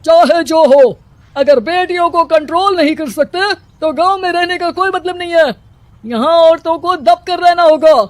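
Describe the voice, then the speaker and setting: A performer voicing a stern, domineering male village headman in an animated moral story, commanding and scolding. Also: very high voice, a little slow, dry recording.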